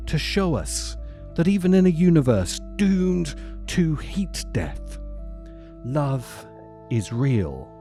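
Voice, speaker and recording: A man hosting a video essay, delivering scripted commentary in a dry, deliberate way with dramatic emphasis. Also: mid-range voice, dark tone. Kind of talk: scripted narration, film commentary.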